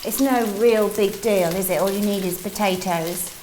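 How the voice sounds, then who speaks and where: A woman's voice: medium pitch, moderate pace, darkish tone, a little hiss or hum, rough recording. A woman co-hosting a casual cooking demonstration, chatty and teasing as she talks through the dish. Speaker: cooking show co-host. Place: kitchen.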